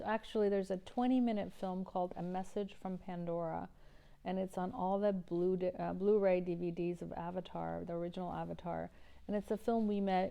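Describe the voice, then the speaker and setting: A middle-aged woman from Iran speaks as an interview guest, her voice low, gravelly and dark in tone. She talks earnestly and evenly, often pausing on fillers and restarting her sentences. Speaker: environmental activist guest. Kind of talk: interview answers.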